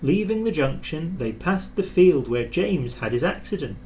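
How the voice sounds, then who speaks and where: A man's voice: on the high side, big pitch swings, normal speed, a little mellow. A man narrating a children's storybook as a storyteller. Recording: clear background noise.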